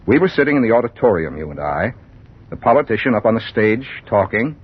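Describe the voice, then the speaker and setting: An anguished man haunted by fear, baring himself in halting, fervent bursts. His voice is low and rough, swinging widely in pitch. It has the theatrical manner of 1940s radio drama, on a muffled old recording. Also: big pitch swings. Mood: haunted, confessing. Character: anguished young man, lifelong fearful, confessing his story.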